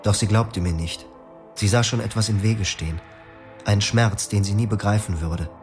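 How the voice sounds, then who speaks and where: Radio drama lead: male actor as troubled young husband, guilt-ridden, confessional, lower register. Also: moderate pace, soft background music, natural voice. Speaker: actor, first-person narrator. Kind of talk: literary radio drama narration and dialogue.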